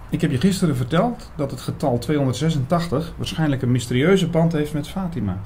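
A man playing an earnest priest who narrates a mystery audio drama in a measured, bookish, formal way. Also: mid-range voice, darkish tone, slight background noise, natural voice. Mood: thoughtful. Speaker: narrator and lead actor.

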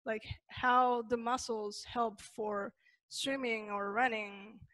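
A woman's voice: on the high side, steady tone, slow, a bit bright, no echo. Peruvian woman presenting a webinar, fillers. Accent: Peruvian Spanish. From Peru. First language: Spanish. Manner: thoughtful, many fillers and restarts. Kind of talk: online lecture with slides.

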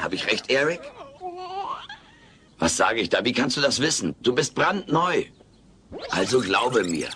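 An actor playing a cheeky, childlike furry alien with drawling wisecracks in a German sitcom audio drama. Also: male voice, fairly low pitch, full character voice. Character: wisecracking furry male alien, over 200, childlike and tender.

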